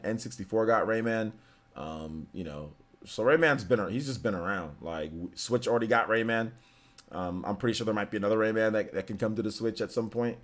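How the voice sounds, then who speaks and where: A man in his forties chatting casually as a streamer, loose and filler-heavy, answering chat questions and laughing easily. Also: on the low side. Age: 40s. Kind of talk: casual livestream Q&A.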